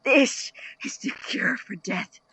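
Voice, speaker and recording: A woman playing an obsessive, defiant young male student scientist in an audio drama, with intense formal diction and a husky, breathy voice. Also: a little high, takes it slowly, a bit bright, dry recording, full character voice. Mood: manic triumph. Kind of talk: audio drama acting, recorded-diary narration.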